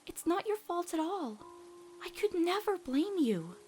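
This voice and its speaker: A woman voicing an earnest, self-doubting teenage girl with halting delivery in a dramatic comic dub. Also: very high voice, sing-song, a little mellow, soft background music, voice pushed up, exaggerated voice. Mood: urgent, reassuring. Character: guilt-ridden, earnest, stubborn teenage human girl.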